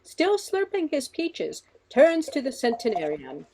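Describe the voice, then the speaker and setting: A female storyteller, read by a woman, narrates a radio comedy in a high-pitched voice. Her intonation is very animated as she sets each scene like stage directions. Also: a little slow, slightly rough, full character voice. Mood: narrating. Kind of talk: scripted narration of a radio play.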